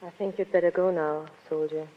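A woman playing a cool, world-weary cabaret singer in a 1930s radio drama, speaking in an unhurried, aloof and politely distant way. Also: on the low side, steady tone, natural voice. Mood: weary, guarded. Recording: dull, noisy 1930s radio broadcast with crowd.

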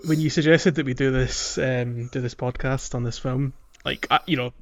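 A man's voice: medium pitch, fairly even intonation, moderate pace, a crowd in the background. A man co-hosting a podcast in casual, agreeable conversation about films and games, on slightly lo-fi audio. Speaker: podcast co-host. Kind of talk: casual film and game discussion.

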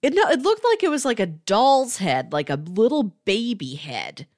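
A woman's voice: fairly high pitch, very animated, moderate pace, a little mellow, no echo, studio quality. A woman co-hosting a podcast, talking casually about books and explaining through listed examples. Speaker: podcast co-host. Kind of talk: casual book discussion.

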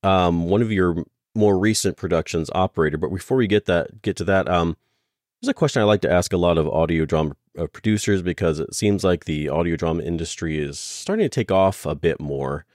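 Podcast host guy, really deep voice, casual questions, dry studio-like sound. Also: brisk, a little mellow, dry recording. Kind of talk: casual interview.

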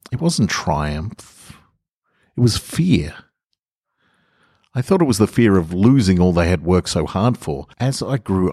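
A man with a very deep voice speaks as the host and reader of a podcast. His phrasing is measured and deliberate, with space left between thoughts. The sound is close and very dry, with hardly any room on it. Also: darkish tone, no echo. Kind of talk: scripted literary monologue narration.

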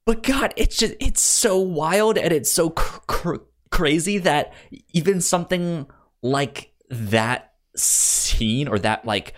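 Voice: mid-range voice, expressive, slow. A podcast co-host chatting casually about films, often stammering and repeating the first sounds of words. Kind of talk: casual film discussion chat.

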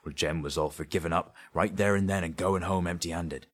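Man voicing very deep, haunted grave robber. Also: steady tone, brisk. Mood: grim, recounting. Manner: colloquial, confiding storytelling with dark relish. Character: haunted, shivering Cockney grave robber, greedy and fearful.

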